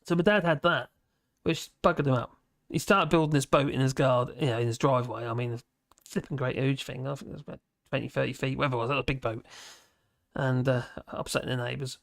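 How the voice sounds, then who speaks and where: Male, 50s, livestream host; rambling, self-mocking, gravelly fry, close dry sound. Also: medium pitch, fairly even intonation, fast, dry recording. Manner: chatty, chuckling, self-mocking asides. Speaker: livestream host reading chat. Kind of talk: casual rambling chat. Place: home office at his desk.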